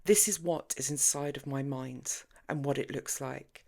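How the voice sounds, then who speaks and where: A woman's voice: rumbling low, steady tone, measured pace, gravelly, darkish tone, heavily acted. A woman narrator voicing a defiant, sarcastic young mother in an audiobook, with a mocking, dramatic and emphatic manner. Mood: pleading, defiant.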